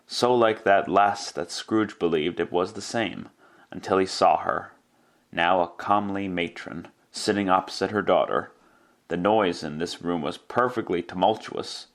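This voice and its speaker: A man's voice: low voice, a bit bright. A man narrating a scripted story in the style of classic radio drama.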